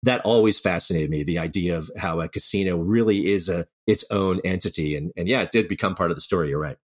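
A man's voice: deep voice, quick pace. A man answering as an interview guest, a writer-director talking earnestly and conversationally, often doubling back on his words.

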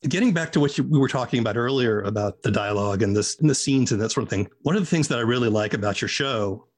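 Husky American man interviewing. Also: medium pitch, quick pace. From southeast United States. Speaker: interviewer, podcast host. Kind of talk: casual interview chat.

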